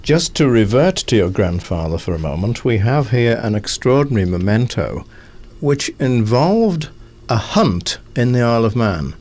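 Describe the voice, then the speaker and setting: Animated male radio interviewer. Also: mid-range voice, normal speed. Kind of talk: formal, prompting interview questions.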